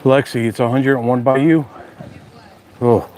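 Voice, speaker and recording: A chatty man with a New York accent talks straight to his viewers on a live stream. He jokes easily in a relaxed, unscripted way. He is recorded outdoors on a phone with faint noise behind him. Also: medium pitch, a little slow. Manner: chatty, joking, addresses viewers directly. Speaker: outdoor channel host. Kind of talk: casual live-stream chat. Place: outdoors at a beach house.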